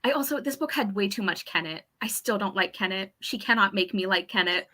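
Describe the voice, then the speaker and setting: A woman's voice: medium pitch, fairly quick, sharp tone. A woman co-hosting a casual book discussion over a video call, chatty, with frequent fillers and laughs. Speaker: book club co-host.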